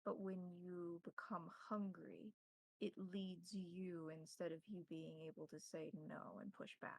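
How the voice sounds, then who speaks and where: A woman running a tabletop horror game as game master, narrating in a deliberate, descriptive way. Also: lowish voice, level tone, vocal fry, a little mellow, dry recording, poor audio.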